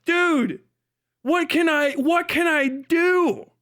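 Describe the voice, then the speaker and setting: Guy streamer doing reaction commentary, really high bright voice, swears loads, cant believe what hes seeing. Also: slow. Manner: sweary, incredulous, exclamatory. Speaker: livestreamer reacting to a video. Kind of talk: mocking casual live commentary.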